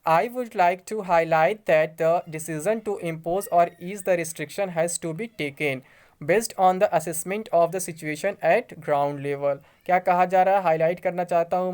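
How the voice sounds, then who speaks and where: A woman's voice: on the low side, a little mellow. A woman with an Indian accent giving an informal news explainer, reading articles aloud and explaining them. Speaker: YouTube exam news presenter.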